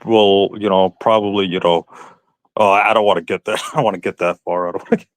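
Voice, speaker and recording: Low-voiced man chatting casually, dry sound. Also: fairly even intonation, quick pace, dry recording. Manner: repeats phrases as he explains. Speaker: live stream participant. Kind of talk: casual banter and chat.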